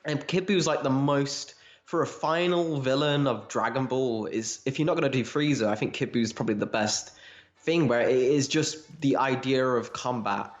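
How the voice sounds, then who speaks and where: A man with a mid-pitched voice talks casually as a podcast co-host. He is opinionated and emphatic, dropping swear words as he argues his points. The sound is roomy and echoing, with a slightly dull, lo-fi quality. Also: moderate pace, faint music behind. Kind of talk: casual fan discussion.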